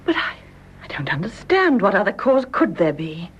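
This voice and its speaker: Animated British young widow. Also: female voice, medium pitch, normal speed, breathy edge. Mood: bewildered. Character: gracious, fragile newly widowed young wife. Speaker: actress in a radio drama. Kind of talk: radio drama acting. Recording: muffled radio recording with faint background noise.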